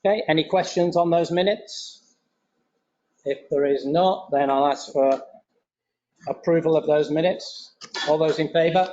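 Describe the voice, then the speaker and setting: A man chairs a homeowners meeting in a brisk, procedural way. His voice sits a little high for a man. The recording is dull and lo-fi, with faint hiss behind him. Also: warm tone. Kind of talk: running a meeting. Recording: dull meeting room audio, faint noise.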